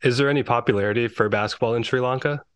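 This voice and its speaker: A man's voice: medium pitch, rapid-fire, clean tone, no room sound. A man hosting a podcast, asking easygoing, chatty questions in a casual interview. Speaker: podcast host.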